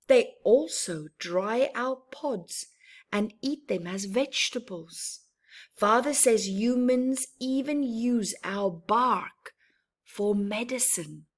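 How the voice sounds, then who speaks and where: Woman, children's story reader, very unhurried pace, expressive delivery, mid-range pitch, talks directly to little listeners. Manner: slow, deliberate, with asides to the listeners. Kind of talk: expressive read-aloud storytelling for young children.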